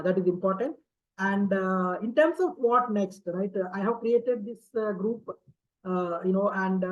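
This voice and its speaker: A man hosts an online session, talking haltingly with many fillers and tag questions. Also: high-pitched. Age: 50s. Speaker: session host. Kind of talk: online talk to a community group. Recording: dull lo-fi audio.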